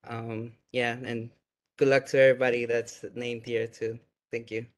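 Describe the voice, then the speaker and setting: A man's voice: medium pitch, little pitch movement, normal speed. A young man giving brief, hesitant thank-you remarks over a video call, with many fillers. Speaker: student award recipient. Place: indoor room at home, on a video call. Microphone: webcam mic on a video call.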